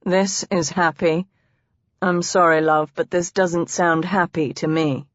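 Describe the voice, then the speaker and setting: Woman voicing a tender, low-toned romance husband. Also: steady tone, takes it slowly, dry recording. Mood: soothing. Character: tender, teasing husband, a man in a historical romance. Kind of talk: audiobook character dialogue. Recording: dry, slightly dull close recording.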